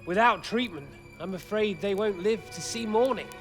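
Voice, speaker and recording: A man's voice: high voice, moderate pace. An actor playing a pious, formal medieval nobleman who speaks with solemn, theatrical courtesy in a video game. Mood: grateful, pleading. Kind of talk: dramatic video game acting. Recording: loud music over the voice.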